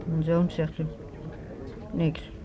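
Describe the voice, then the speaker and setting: An actress playing a frail, dazed, grieving old newspaper seller, resigned and repetitive, in a radio drama. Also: female voice, low voice, monotone, slow, background noise. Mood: numb grief. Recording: dull radio recording, café chatter behind.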